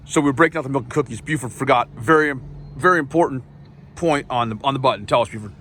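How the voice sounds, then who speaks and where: A man's voice: mid-range voice, fast talker, fairly bright tone. An American man hosting a casual vlog, chatting in a playful, teasing way. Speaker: host of the channel.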